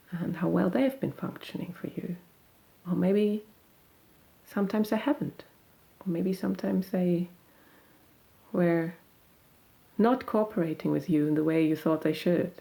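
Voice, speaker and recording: A woman guiding a meditation in a gentle, unhurried, soothing manner, leaving long pauses between phrases. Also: fairly low pitch, vocal fry. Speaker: meditation guide. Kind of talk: guided meditation.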